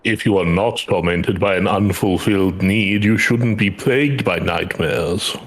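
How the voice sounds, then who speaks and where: A man voicing a solemn, formal dream-eating creature in a fantasy game, calm and measured. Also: sits low.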